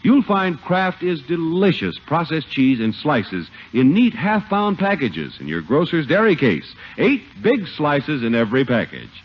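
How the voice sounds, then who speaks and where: A man's voice: fairly high pitch, big pitch swings, measured pace, hardly any pauses, slight background noise. A man announcing and narrating a 1950s radio show in scripted style. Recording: muffled old radio recording, loud music.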